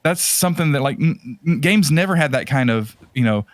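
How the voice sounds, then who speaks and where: A man's voice: a little high, animated, brisk, dry recording, clean studio sound. A man chatting casually as a podcast host, rambling and enthusiastic about his subject. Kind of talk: casual chat about retro games and movies.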